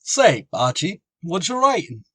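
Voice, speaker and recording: A dopey, dim-witted tavern regular, played by a man in an old-time radio comedy. He speaks in a slow, thick drawl, and his pitch swings widely. The sound is dry and a little off the mic. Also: very animated, a bit bright, slightly rough, no echo, heavily acted.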